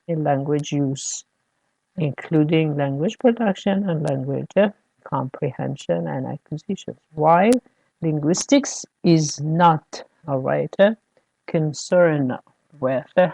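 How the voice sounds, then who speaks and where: Slow, gravelly lecturer. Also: mid-range voice, mellow, dry recording, low-quality recording. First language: Persian. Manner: hesitant, many fillers, keeps checking "all right?" with students. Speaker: university lecturer. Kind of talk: classroom lecture.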